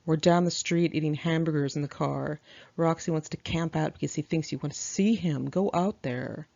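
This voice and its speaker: A woman voicing a flamboyant young male rocker friend in a memoir, with a drawn-out, coaxing delivery. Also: low voice, creaky, warm tone, highs cut off. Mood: insistent.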